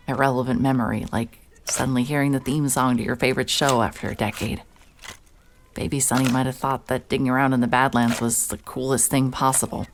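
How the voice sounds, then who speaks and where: A woman's voice: a bass voice, a little hiss or hum. An actress playing a hard-hearted, weary female private investigator in an audio drama, with a dry, sardonic delivery. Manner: deadpan, sardonic. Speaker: narrator and lead character. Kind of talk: cinematic audio drama, first-person noir narration and dialogue.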